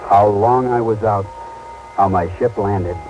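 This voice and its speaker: An actor playing an earnest military major and space pilot in an old radio drama, speaking in halting, broken phrases. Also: male voice, lowish voice, darkish tone, muffled recording, faint music behind, lightly acted. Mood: grim narration. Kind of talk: 1940s-50s radio drama acting.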